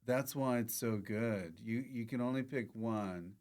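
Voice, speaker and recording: A man's voice: mid-range voice, unhurried, darkish tone. A man hosting a casual podcast interview, chatting in short, dry interjections and questions. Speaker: podcast host.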